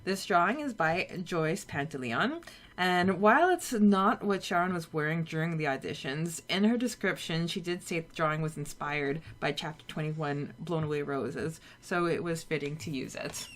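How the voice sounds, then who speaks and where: A woman's voice: deep voice, lively intonation, normal speed. A woman co-hosting a podcast in casual chat, chatty and laughing often, repeating words as she thinks. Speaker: podcast co-host.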